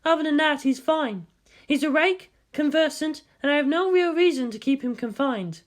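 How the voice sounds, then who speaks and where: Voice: high-pitched, normal speed, voice pushed up, natural voice. A narrator voicing a cool, clinical female scientist with a dry, matter-of-fact manner.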